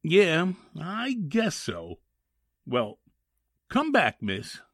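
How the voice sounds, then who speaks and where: A man acts out a folksy, grumbling prison guard in an old-time radio play. The husky voice drawls slowly in rough working-class grammar, leaping widely in pitch. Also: wildly swooping pitch, fairly bright tone, dry recording, clean studio sound, heavily acted. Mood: grumbling, obliging. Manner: drawn-out, ungrammatical working-class talk. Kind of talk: 1940s radio drama acting.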